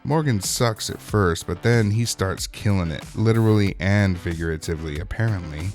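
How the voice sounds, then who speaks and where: A man hosts a casual recap in a fairly low voice. He talks colloquially, dropping wry, joking asides, with light music underneath. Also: moderate pace. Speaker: host of a TV episode recap show.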